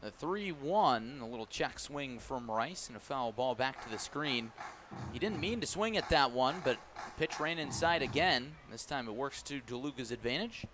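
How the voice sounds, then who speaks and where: A man's voice: mid-range voice, animated, moderate pace, noisy background. An American man giving live radio play-by-play of a baseball game as the announcer. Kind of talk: live radio sports commentary.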